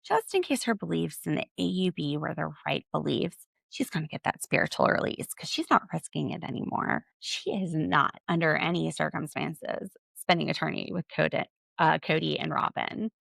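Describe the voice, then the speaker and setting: A young woman talks through a reality show recap in a loose, sarcastic way. Her voice crackles with heavy vocal fry. The sound is dry and close, with no room around it. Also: animated, moderate pace, few pauses, a bit bright, no echo. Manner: chatty and sarcastic, acting out quotes in a high voice. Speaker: podcast host. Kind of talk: casual recap chat.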